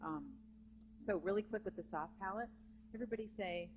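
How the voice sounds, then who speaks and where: A woman with a naturally low speaking voice teaching a live vocal jazz masterclass to students, lively and encouraging, in a conference hall. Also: a little fast. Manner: lively, encouraging, demonstrates with sung examples. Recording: dull lo-fi live recording with hum.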